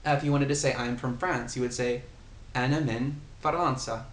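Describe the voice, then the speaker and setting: A man's voice: mid-range voice, fairly even intonation, quick pace. A man giving a casual language lesson, patiently explaining and repeating example phrases. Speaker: language tutor. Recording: echoey room, faint ambient noise.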